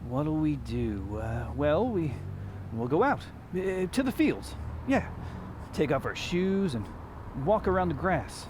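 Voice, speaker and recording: A man's voice: fairly high pitch, very animated, takes it slowly. An actor playing a restless, kindhearted young man in a radio drama, with a hesitant, folksy way of talking. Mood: eager, dreamy. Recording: faint background noise.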